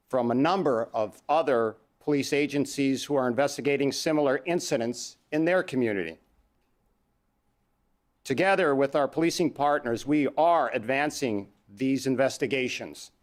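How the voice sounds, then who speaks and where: A man with a Canadian accent, a police chief giving a formal statement at a press conference, measured and deliberate. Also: fairly high pitch. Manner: measured, reads numbers out slowly.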